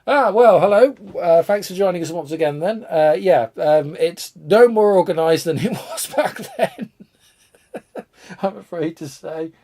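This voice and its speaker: Man, stuffy from a cold, rambling live stream host, self-deprecating, thinks out loud. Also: sits high, expressive, measured pace. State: congested from a cold, coughing. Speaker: retro computer channel host. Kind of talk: casual live stream chat.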